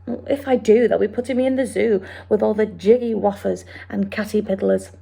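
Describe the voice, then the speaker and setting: A woman doing a gentle giant's muddled talk. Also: mid-range voice, steady tone, brisk, creaky, husky. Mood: stubborn. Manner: ungrammatical, invented words. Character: big gentle male giant, kindly, mangled grammar.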